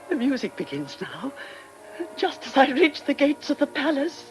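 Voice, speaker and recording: An actress playing an older, faded stage diva in a radio drama, eccentric and wistful, talking to herself in a halting, theatrical manner. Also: female voice, high-pitched, sing-song, faint music behind, rough recording. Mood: rapturous, grand.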